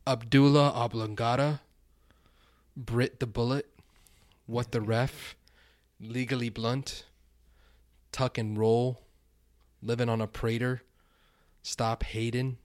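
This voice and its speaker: A man co-hosting a casual sports podcast, easygoing and halting, often restarting his sentences. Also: medium pitch, level tone, no echo, studio quality. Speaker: podcast co-host.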